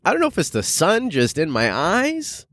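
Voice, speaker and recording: A man's voice: on the high side, huge pitch swings, moderate pace, fairly bright tone, no echo, clean studio sound. A man hosting a solo podcast, rambling casually and loosely in informal, sweary language like an amateur comedian.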